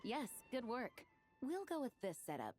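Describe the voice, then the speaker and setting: A woman's voice: a little high, wildly swooping pitch, moderate pace, darkish tone, soft background music. An actress playing a gracious, composed young woman who leads knights in a video game, speaking politely and sincerely. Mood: welcoming. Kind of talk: video game character acting.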